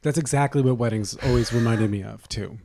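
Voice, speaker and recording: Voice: medium pitch, a little fast, warm tone, no room sound, studio quality. A podcast co-host in casual banter, throwing in sarcastic, catty, sweary quips. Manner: sarcastic, bitchy quips, profane.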